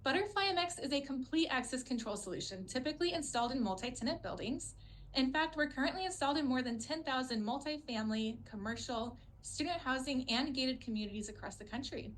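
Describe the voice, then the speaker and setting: A woman's voice: high-pitched, lively intonation, fast, a bit bright, a little hiss or hum. A woman hosts a webinar, reading a scripted introduction. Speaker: webinar host.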